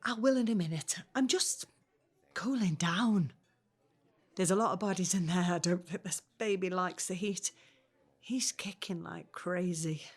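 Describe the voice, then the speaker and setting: An actress playing a reassuring young working-class pregnant woman, gently coaxing, in a 1950s family audio drama. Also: fairly low pitch, measured pace, breathy edge.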